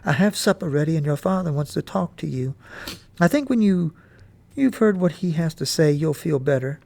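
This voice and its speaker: A patient, loving mother, played by a man reading the story, who speaks in a soft, unhurried, soothing voice. The pitch sits a little above the reader's own and carries some gravel. It sounds like a storybook reading. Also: expressive, no echo. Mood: gentle. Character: gentle, patient mother comforting her son.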